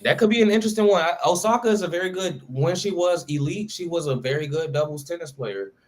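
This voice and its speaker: A man's voice: a little high, fast talker, slight background noise. A man co-hosting a casual sports talk show, talking loosely in slang with lots of fillers and restarts. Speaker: podcast co-host.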